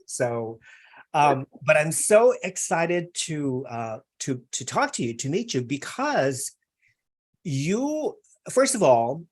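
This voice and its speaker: A man's voice: fairly high pitch, sing-song, slow. A man hosting a live online interview, chatty and effusive, with many fillers. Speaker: interview host.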